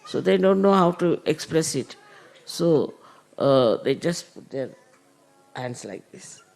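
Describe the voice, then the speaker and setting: Slow, gravelly man teaching. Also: on the high side, animated, darkish tone. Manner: unhurried, explanatory, with many "uh" pauses. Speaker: spiritual teacher. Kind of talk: spiritual talk to followers.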